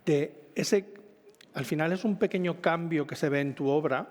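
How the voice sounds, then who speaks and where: A man's voice: on the high side, normal speed, a little mellow. A man hosting an informal literary interview in a chatty, rambling, self-correcting way, in a reverberant hall. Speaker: event host, interviewer. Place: live event in a reverberant hall. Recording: echoey hall, long reverb.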